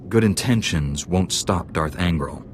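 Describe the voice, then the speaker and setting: Low-pitched, steady young knight. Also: male voice, a little slow, slight background noise. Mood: earnest, serious. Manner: calm, earnest, dutiful. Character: earnest, steady young male Jedi knight. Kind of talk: video game character acting. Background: music.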